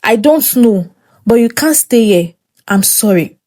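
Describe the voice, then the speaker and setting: A woman's voice: a little high, animated, measured pace, warm tone. A Nigerian woman storyteller voicing a selfish, unwelcoming older sister with a curt, dismissive manner. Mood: uneasy, dismissive.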